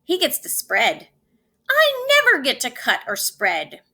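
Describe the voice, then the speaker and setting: A wistful little spoon boy from a storybook, acted out by a woman reader. The voice is high and bright, whining with envy and stressing each complaint. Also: huge pitch swings, a little slow, exaggerated voice. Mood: glum envy. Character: young male spoon, glum, envious, wistful child. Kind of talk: children's storybook read-aloud.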